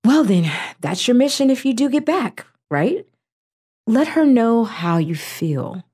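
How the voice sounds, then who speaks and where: Husky, world-weary young survivor played by a woman. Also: fairly high pitch, sing-song, a little slow, dark tone, exaggerated voice. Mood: encouraging. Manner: wry, blunt. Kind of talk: amateur audio drama acting.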